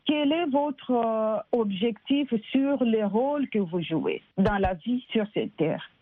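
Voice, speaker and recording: A woman's voice: a little high, lively intonation, slow, no echo. Earnest Congolese woman on a phone-like line. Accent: Congolese French. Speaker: interview guest, economist. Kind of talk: interview answers, explaining with personal examples. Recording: tinny, phone-like band-limited line.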